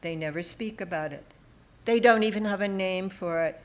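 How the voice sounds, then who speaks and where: A woman reading a literary personal essay aloud, with deliberate, dramatic phrasing and pauses. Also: mid-range voice, a little hiss or hum. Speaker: essayist reading own work.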